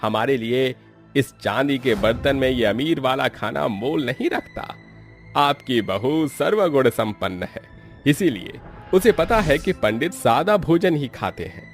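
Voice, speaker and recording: Man acting as kindly priest, mid-pitched, formal, measured, cartoon dialogue, light music. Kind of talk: Hindi moral-story cartoon dialogue.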